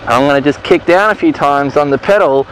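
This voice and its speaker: A man's voice: fairly high pitch, a little fast. Guy explaining gears on his bike, chatty, lots of uh and um, muffled helmet sound with faint engine hum. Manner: relaxed, rambling, many fillers and restarts. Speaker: motorcycle riding instructor. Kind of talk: casual step-by-step tutorial while riding. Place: outdoor car park on a slow-moving motorbike. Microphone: helmet-mounted mic with visor down.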